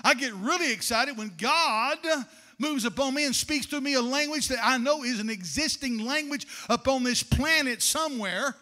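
A man's voice: very high-pitched, moderate pace. An older man preaching a fervent sermon from a church pulpit into a handheld microphone, calling the congregation "honey". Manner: fervent preaching, rising to shouts. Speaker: pastor.

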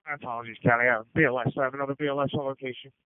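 A man gives rapid, clipped fireground reports as incident commander. His voice is smooth and clear, but it comes through a narrow, tinny radio channel with no room sound at all. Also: mid-range voice, steady tone, dry recording. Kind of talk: fire radio traffic. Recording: phone-like scanner radio audio.

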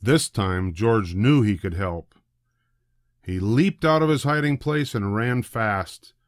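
An older man narrating a children's storybook in a deliberate, expressive way. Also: fairly low pitch, no room sound, studio quality. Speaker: storybook reader.

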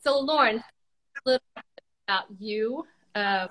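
Bright-voiced woman hosting, hesitant. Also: fairly high pitch, normal speed, poor audio. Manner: hesitant, many fillers. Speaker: host. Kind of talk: casual livestream interview. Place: home room, indoors. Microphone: video call.

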